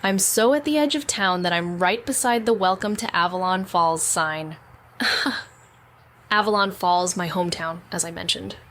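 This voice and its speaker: A woman's voice: fairly low pitch, measured pace, fairly bright tone. A woman playing a wry, self-deprecating young woman back in her hometown, narrating an audio drama in a conversational, sardonic way with rambling asides. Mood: wry, ambivalent. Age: young adult. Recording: noticeable background ambient noise.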